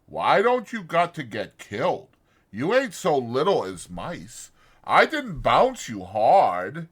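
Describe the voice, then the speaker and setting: A man voicing a huge, childlike, simple-minded man in an audiobook, slow and plaintive with folksy uneducated speech. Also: mid-range voice, huge pitch swings, fairly bright tone, husky, voice pushed up, full character voice. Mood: worried, pleading. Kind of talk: dramatized audiobook character acting.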